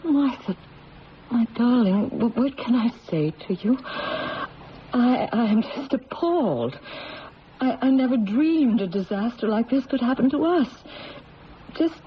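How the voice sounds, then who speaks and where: Loving anguished mom in old radio play, slow and halting, muffled hissy sound. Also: female voice, fairly high pitch, expressive. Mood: distressed. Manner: halting, emotional, tender. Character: loving, anguished adoptive mother of a grown daughter. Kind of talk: 1970s radio drama acting.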